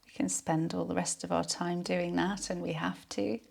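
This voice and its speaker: A woman leading a guided yoga nidra relaxation in a soothing, unhurried, soft-spoken way. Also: fairly low pitch, level tone, creaky.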